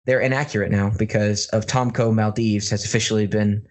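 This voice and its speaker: A man with an American accent hosting a casual, jokey podcast, telling news stories in an informal, animated way. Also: on the low side, brisk. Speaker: podcast host. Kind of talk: casual comedic news banter.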